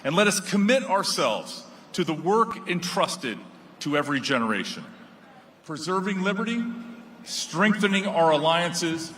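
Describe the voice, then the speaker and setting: A man's voice: fairly high pitch, pushed and bright, slight background noise. Solemn man giving a formal address. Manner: solemn, rhetorical, measured cadence. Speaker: speaker at a formal celebration. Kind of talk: formal prepared speech.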